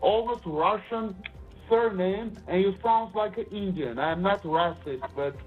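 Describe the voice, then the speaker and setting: Aggressive Eastern European broker, male, high voice, tinny phone line, non-native English. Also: a little slow, faint music behind. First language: not English. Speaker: caller, freight broker. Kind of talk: confrontational phone call.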